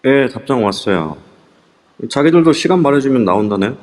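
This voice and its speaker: A man's voice: medium pitch, expressive, a little fast, darkish tone, natural voice. A man playing a friendly young man who talks casually in an amateur audio drama. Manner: casual and chatty. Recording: reverb-heavy online audio.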